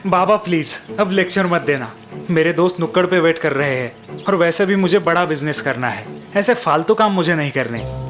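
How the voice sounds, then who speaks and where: A man's voice: fairly high pitch, expressive, moderate pace, over music, close to an everyday voice. A man playing a spoiled, boastful young village son in a cartoon moral story, with a whiny, dismissive manner. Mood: irritated, dismissive. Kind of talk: animated Hindi moral story dialogue.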